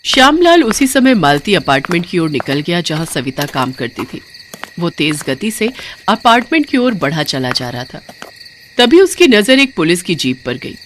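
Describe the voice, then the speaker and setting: A woman's voice: fairly low pitch, very animated, moderate pace, fairly bright tone, a little hiss or hum. A woman narrating a story in a dramatic, scripted storytelling style.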